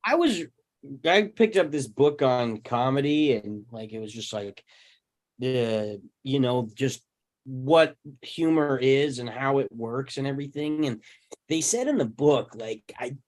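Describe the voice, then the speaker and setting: A man with an average-pitched voice talks in a casual group discussion. He is thoughtful and a little halting as he builds his point, often checking that others follow. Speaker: panel participant.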